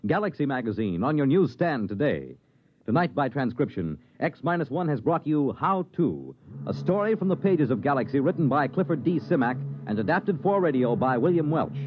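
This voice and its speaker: A man's voice: medium pitch, very animated, moderate pace. A male radio announcer with a formal, declamatory 1950s broadcast delivery. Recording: dull lo-fi 1950s radio with music.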